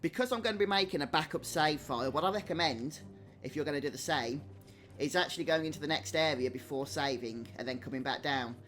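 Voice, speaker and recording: A man's voice: on the high side, fast, a bit bright. A man giving casual live game commentary and chatting to viewers as a streamer. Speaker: gaming streamer. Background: game music.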